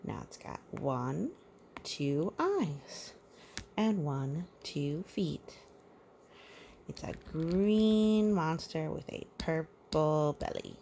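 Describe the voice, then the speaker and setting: Animated woman reading to little kids, creaky voice. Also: low-pitched, very slow, a little hiss or hum. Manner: playful, sing-song, talking to small children. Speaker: parent reading a picture book to children. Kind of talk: children's storybook read-aloud with asides and counting.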